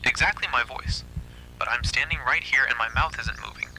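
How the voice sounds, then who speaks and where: A man narrating a scripted first-person horror story in a hushed, breathy, suspenseful way. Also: medium pitch, moderate pace, slight background noise, rough recording.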